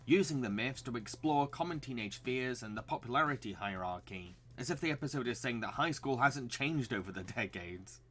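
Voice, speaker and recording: A man's voice: medium pitch, animated, a little fast, hardly any pauses, fairly bright tone. A man reading scripted video essay narration as the host.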